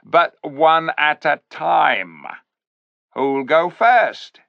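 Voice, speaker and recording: A man's voice: on the high side, extremely slow, vocal fry, no echo, pitched above the performer's own voice, full character voice. A man voicing a sly, bossy male ape who mocks and jeers at the crowd in a storybook reading. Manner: mocking, jeering, cackling. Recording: dull lo-fi recording.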